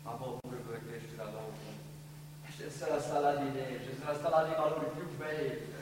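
A male actor playing a talkative, obliging Neapolitan gentleman in a stage drama, with a theatrical delivery and a Neapolitan accent, in a hall. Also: medium pitch, steady tone, moderate pace, close to an everyday voice. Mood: conciliatory. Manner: theatrical, voluble. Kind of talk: live stage drama acting in dialect. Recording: very echoey noisy hall from far off.

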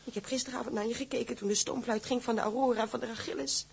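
An actress playing a sailor's wounded wife in a radio drama, pleading and searching, with a husky voice. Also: female voice, on the high side, fairly even intonation, rapid-fire, darkish tone, exaggerated voice. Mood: accusing, insistent. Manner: emotional, insistent, searching.

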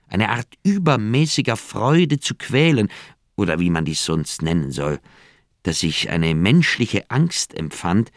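Reflective older surgeon, very low gravelly voice, dramatic pauses, uneasy, radio crime drama. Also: male voice, no room sound, clean studio sound. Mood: haunted, horrified.

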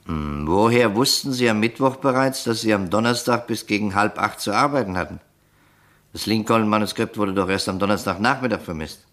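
Male investigator man acting, radio crime drama: low voice, calm, courteous, quietly probing. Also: a little fast, natural voice. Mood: quietly insistent. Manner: polite, probing, soothing.

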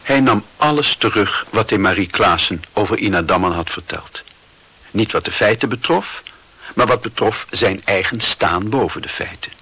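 A man reading literary narration for a radio play in a deliberate, dramatic, reflective manner. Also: lowish voice, lively intonation, bright, forceful tone, slightly rough, no echo.

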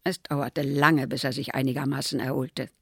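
An elderly mother in a radio play, looking back on her son in a measured, matter-of-fact way. Her low voice is gravelly and husky, recorded very dry. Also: steady tone, dry recording, heavily acted. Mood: sober recollection. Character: aging mother soberly recalling her sickly, troubled son.

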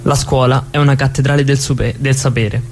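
A man's voice: medium pitch, flat delivery, normal speed, a little hiss or hum, close to an everyday voice. A man playing a formal announcer who reads out records and quotations in terse radio-theatre style. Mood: factual.

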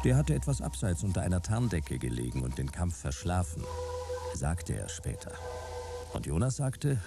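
Low-voiced, sardonic noir detective. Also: male voice, vocal fry, full character voice. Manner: laconic, sardonic. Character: dry, weary male private detective and war veteran. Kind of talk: first-person noir radio play narration and dialogue.

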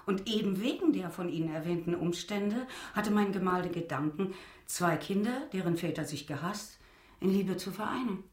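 Woman playing genteel, low-voiced society lady. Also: expressive, moderate pace, a little mellow, echoey room, natural voice. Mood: gracious. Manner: polite, refined. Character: genteel society wife and mother, mildly resentful. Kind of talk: German radio drama acting.

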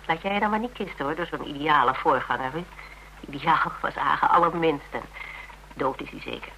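A woman playing a practical, worldly character in a radio drama, speaking in a measured, wry, matter-of-fact way. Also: low-pitched, vocal fry, bright, forceful tone, a little hiss or hum, full character voice, poor audio. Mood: calm, matter-of-fact. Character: Tera, cool practical worldly woman giving frank advice. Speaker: actor in a radio play. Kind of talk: Dutch radio drama acting, conversational.

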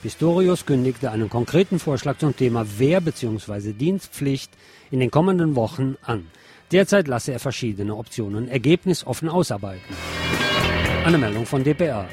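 A man's voice: medium pitch, lively intonation, moderate pace, darkish tone, faint music behind. A man presenting radio news, reading reports in a scripted style and slipping in sardonic asides. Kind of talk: reading news bulletins with wry commentary.

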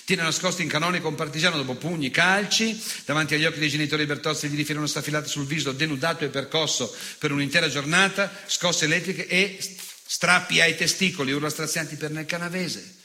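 Stage monologue: unrepentant fascist nostalgic, gloating and bitter, piercingly tense, higher-set male voice, emphatic and obsessive. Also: fast talker, pushed and bright. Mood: cold gloating. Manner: emphatic, obsessive, sardonic recitation with repeated words. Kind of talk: theatrical monologue.

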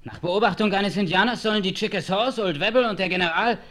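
High, agitated young-sounding westerner. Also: male voice, fast talker, fairly bright tone. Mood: alarmed. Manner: agitated, alarmed. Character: agitated, excitable frontier westerner bringing bad news. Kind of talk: German radio play acting.

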